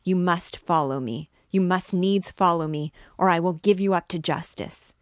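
Woman doing a creepy obsessed old priest, low slow and bossy, dry close sound. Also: dry recording, lightly acted. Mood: possessive. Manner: forceful, commanding declamation. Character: obsessed, menacing older male priest.

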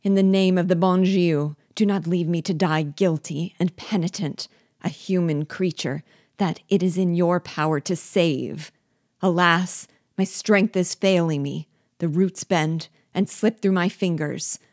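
A woman's voice: sits low, fairly even intonation, a little slow, darkish tone, no room sound, studio quality. A woman voicing a spiteful male dwarf jester in an audiobook, menacing at first and then begging frantically for his life. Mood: pleading. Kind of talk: audiobook reading.

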